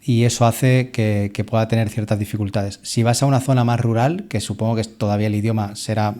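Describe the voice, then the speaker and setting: Man, podcast host, low pitch, mellow dark tone, casual questions. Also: steady tone, a little fast. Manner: conversational, frequent fillers and restarts. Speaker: podcast host, travel agency head.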